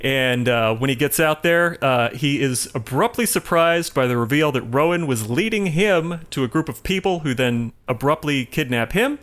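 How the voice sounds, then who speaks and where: A man co-hosting a podcast, telling a story casually in a halting, self-correcting way. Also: medium pitch, lively intonation, fairly bright tone. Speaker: podcast co-host. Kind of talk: casual film recap discussion.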